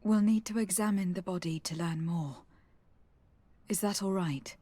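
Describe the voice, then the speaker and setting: A woman's voice: fairly low pitch, mellow, breathy edge. Poised, authoritative noblewoman envoy. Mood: sober, courteous. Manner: formal, measured, courteous. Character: poised, authoritative young noblewoman legate, courteous but insistent. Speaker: noble diplomat. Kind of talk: dramatic video game acting.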